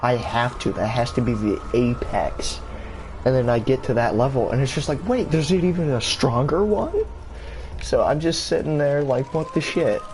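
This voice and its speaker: A young man casually rambling in a gaming vlog, full of fillers, restarts and casual swearing, his voice jumping higher when he gets excited. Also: moderate pace. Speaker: gaming YouTuber. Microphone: console camera mic. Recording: steady background noise.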